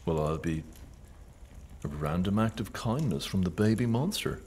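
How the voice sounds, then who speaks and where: A theatrical, gossipy cook in a radio drama. His low voice is campy and arch, savouring every sardonic line. There is slight noise behind him. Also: expressive, moderate pace, darkish tone. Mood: arch, pleased. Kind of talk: naturalistic radio drama acting.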